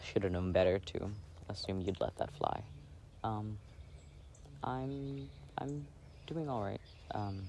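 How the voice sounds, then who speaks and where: A man acting as a tender, shy boyfriend in an ASMR audio roleplay. His low voice is soft and full of creak, and he talks slowly with halting, self-doubting affection. Faint birdsong and outdoor sound sit behind him. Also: a little hiss or hum, heavily acted. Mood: shy, pleased, then uneasy, subdued. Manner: hesitant, halting, soft-spoken. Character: gentle, insecure, affectionate plant-loving boyfriend reading outdoors. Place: outdoors, sitting on grass. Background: faint birds and outdoor ambience.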